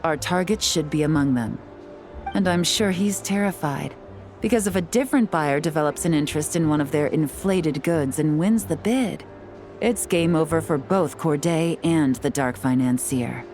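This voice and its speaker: An actress playing a cool, shrewd and composed operative in a video game, speaking with calm assurance. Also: female voice, low voice, normal speed, soft background music, natural voice. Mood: cool, calculating. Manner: calm, assured, smooth-talking.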